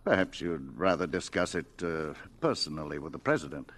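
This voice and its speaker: A man's voice: very deep voice, big pitch swings, fairly quick, fairly bright tone, heavily acted. A man in a classic radio drama playing a courteous, cautious government official with a formal, diplomatic manner. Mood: polite, uneasy.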